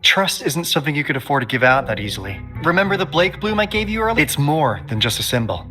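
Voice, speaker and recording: Sly young male game agent, breezy and teasing, very lively pitch, music underneath. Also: fast talker. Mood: earnest, confiding. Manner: casual, teasing, theatrical. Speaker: intelligence consultant character. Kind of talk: video game voice acting.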